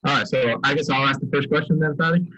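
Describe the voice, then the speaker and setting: A man's voice: on the high side, fast, no echo, highs cut off. Easygoing man co-hosting a podcast. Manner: relaxed, easygoing, uses "yeah" a lot. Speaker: podcast co-host. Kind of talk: casual interview chat.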